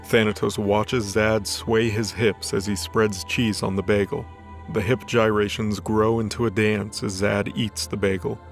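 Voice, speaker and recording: A man's voice: on the low side, little pitch movement, measured pace, vocal fry. A man reading scripted fiction as the narrator of a story. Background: background music.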